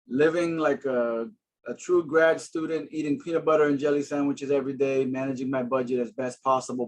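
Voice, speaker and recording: A man's voice: medium pitch, poor audio. Man, 40s, from Boston; earnest, friendly panelist on a video call, lots of fillers. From Boston, Massachusetts. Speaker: housing panelist. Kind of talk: webinar presentation.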